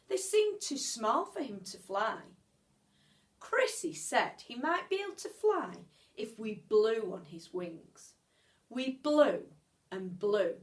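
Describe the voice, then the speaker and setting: A woman reading a children's story aloud like a teacher, expressively, with measured pauses and stretched words. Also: fairly high pitch.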